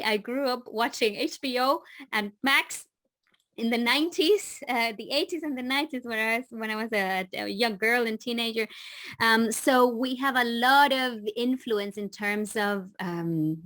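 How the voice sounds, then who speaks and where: A woman in her forties from the Dominican Republic, a leadership coach speaking as a podcast guest with many halting restarts. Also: fairly high pitch, a bit bright, no room sound. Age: 40s. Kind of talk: casual interview talk.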